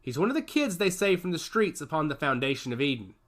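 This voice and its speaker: A man's voice: a little high, big pitch swings, fast talker, a bit bright. A man voicing a male soldier who reads out information in a matter-of-fact way during a story reading. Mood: informative. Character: young male soldier relaying scan data.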